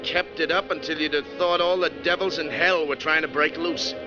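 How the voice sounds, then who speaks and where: A man's voice: on the high side, steady tone, normal speed, close to an everyday voice. A man playing a plain-spoken bus driver in a 1940s radio drama, talking in a folksy, colloquial way. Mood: grim recounting. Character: plain-spoken male bus driver recounting a harrowing trip. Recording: dull 1940s radio recording, music behind.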